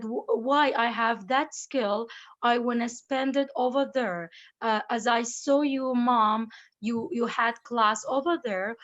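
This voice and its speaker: A woman teacher and parent talking casually in an online meeting, halting and searching for words. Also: on the high side. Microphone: video call. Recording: lo-fi video call audio.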